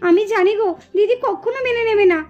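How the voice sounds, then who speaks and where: A woman's voice: very high voice, quick pace, a little mellow, highs cut off. A woman voicing a young doll sweetheart in a puppet drama, pleading, coy and teasing. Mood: anxious, pleading.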